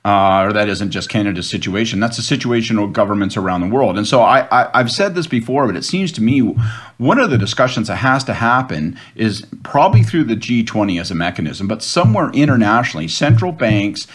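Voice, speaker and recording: A man's voice: lowish voice, lively intonation, brisk. A man on a political panel over a video call, talking at length in a thoughtful way with frequent restarts.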